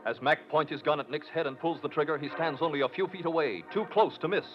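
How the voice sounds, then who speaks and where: A man announcing in 1940s radio style, reading scripted narration and sponsor pitches with emphatic, polished salesmanship. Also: quick pace, over loud music. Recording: muffled scratchy old radio broadcast.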